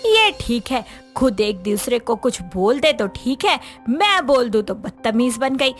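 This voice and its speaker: A woman's voice: on the high side, very animated, faint music behind. A woman voicing an overworked, grumbling young daughter-in-law in a moral story. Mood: resentful grumbling. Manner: quick complaining, sarcastic. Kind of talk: Hindi animated moral story voice acting.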